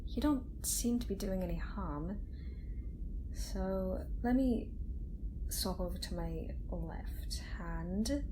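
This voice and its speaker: Slow, gentle woman acting a kind female doctor. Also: medium pitch, noisy background, close to an everyday voice. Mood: amused, patient. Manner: reassuring, hesitant, with soft chuckles. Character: kind, gentle female scientist doctor examining an alien patient. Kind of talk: soft-spoken ASMR roleplay. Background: sci-fi facility ambience.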